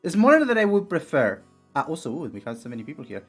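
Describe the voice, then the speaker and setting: A young man with a raspy voice streaming casually, rambling with many fillers and restarts. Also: a little high, huge pitch swings, fast, faint music behind. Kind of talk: casual live-stream chat and reading game text.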